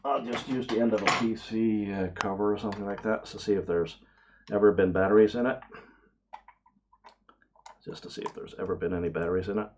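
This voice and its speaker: Excitable low-voiced man unboxing gadgets. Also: noisy background. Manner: excitable, awestruck exclamations, drawn-out hesitations. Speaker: vlogger, radio amateur hobbyist. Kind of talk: casual unboxing commentary to camera.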